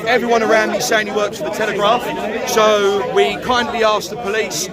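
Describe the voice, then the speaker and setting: Man explaining things calm and formal, high voice, loud crowd outside. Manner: measured, formal. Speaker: protester. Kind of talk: street interview. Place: outdoor street protest. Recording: loud crowd noise outdoors.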